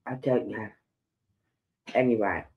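Slow-talking man with a head cold. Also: fairly low pitch. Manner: slow, rambling, with restarts. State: head cold, sore throat. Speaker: livestream host. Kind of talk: casual livestream chat. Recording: dull lo-fi sound.

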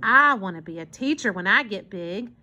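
A woman's voice: mid-range voice, animated, moderate pace, bright, forceful tone. A woman voicing an earnest, polite and hopeful little girl in a storybook, with an eager, pleading manner.

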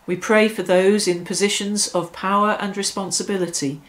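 A middle-aged woman leading evening worship in a measured, reverent way, with deliberate phrasing, speaking indoors. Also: mid-range voice, fairly even intonation. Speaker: church worship leader. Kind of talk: devotional reflection and led prayer. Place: small furnished room indoors.

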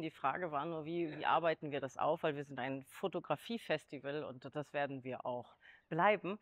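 A woman's voice: sits low, no room sound. A middle-aged woman, a festival curator, explaining in a composed manner. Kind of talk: explanatory interview talk. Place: indoors, in an exhibition hall.

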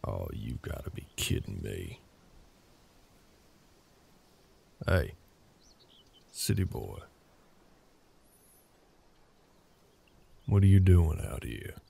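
Man playing a deep, gravelly gruff Southern cowboy. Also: very animated, a little slow, dark tone, full character voice. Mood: irritated, gruff. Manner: gruff, drawling, terse. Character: gruff older cowboy ranch hand, grumpy, curt, grudgingly helpful. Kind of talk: roleplay audio drama, ASMR.

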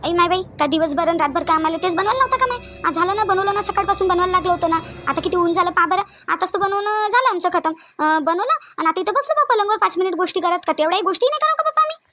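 Woman acting young daughter-in-law, feisty, complaining, very high voice, rapid talk, Varhadi Marathi accent, cartoon. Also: expressive, pushed and bright, full character voice. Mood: indignant. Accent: Varhadi (Vidarbha) Marathi. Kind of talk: cartoon family comedy acting.

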